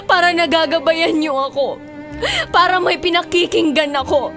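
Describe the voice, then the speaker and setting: An actress playing a remorseful young student daughter in a Filipino radio drama, pleading earnestly and emotionally. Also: female voice, extremely high pitch, moderate pace. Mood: tearful pleading. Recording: music under the voice.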